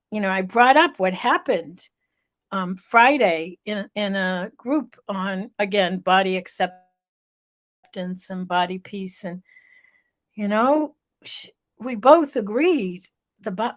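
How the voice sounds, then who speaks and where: Slow-talking stuffy middle-aged woman. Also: medium pitch. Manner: slow, storytelling, emphatic repetition. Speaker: vlog host. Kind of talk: live vlog reflection.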